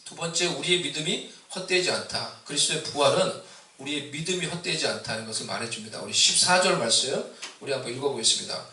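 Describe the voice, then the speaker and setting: A man from Seoul preaching an Easter sermon as pastor, with traces of Busan dialect; he climbs loud and high when he exhorts and keeps asking the congregation questions. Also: quick pace. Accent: Seoul Korean with a mix of Busan dialect. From Seoul, Korea. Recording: echoey hall, distant mic.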